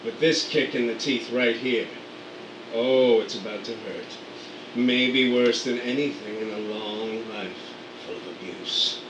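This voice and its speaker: A man's voice: medium pitch, fairly even intonation, measured pace, echoey room, distant mic, background noise. A man giving scripted narration of scene directions, with a dramatic, wry storytelling manner.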